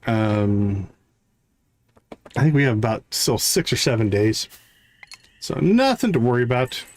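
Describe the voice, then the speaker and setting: Slow-paced, lively-pitched male game streamer. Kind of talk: casual live gameplay commentary.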